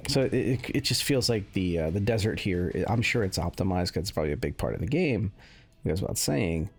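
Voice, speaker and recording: A man giving casual, unscripted commentary as a gaming video creator, explaining things in a halting way. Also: deep voice, lively intonation, husky, faint music behind.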